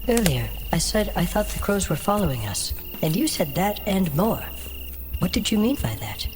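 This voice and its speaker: A woman's voice: fairly low pitch, big pitch swings, normal speed, a little mellow, faint music behind. A woman acting an exhausted adventurer in a fantasy audio drama, with a tired, complaining manner. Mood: questioning. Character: exhausted young female adventurer, weary and complaining.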